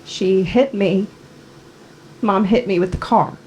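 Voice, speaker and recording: A woman's voice: mid-range voice, takes it slowly, darkish tone, slight background noise, natural voice, poor audio. A woman playing a wounded, insistent daughter confronting her father in a dramatic script reading, with terse, earnest lines. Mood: hurt, remembering. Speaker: actor in a screenplay table read.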